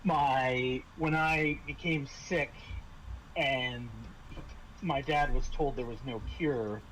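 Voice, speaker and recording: A man voicing a frank, sick young girl in a tabletop game, speaking plainly and haltingly. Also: mid-range voice, fairly even intonation, a bit bright, low-quality recording. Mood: somber, confiding. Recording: noticeable background noise.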